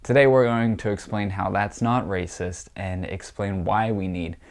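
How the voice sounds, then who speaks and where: A young man talks politics in a casual, scornful way, heavy on fillers. His allergies leave him stuffed up and nasal. His fairly low voice carries a gravelly fry. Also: steady tone, normal speed. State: congested, stuffy nose from allergies. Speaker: political commentator.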